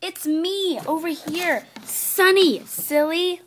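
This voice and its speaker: A woman's voice: very high-pitched, slow pace, fairly bright tone, slight background noise, voice pushed up, full character voice. A woman voicing an overexcited, silly female horse who gushes and exclaims in a singsong way in an animal story. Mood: excited calling. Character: overexcited, silly early-bird female horse, bubbly and apologetic. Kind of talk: animated character acting in an amateur animal story.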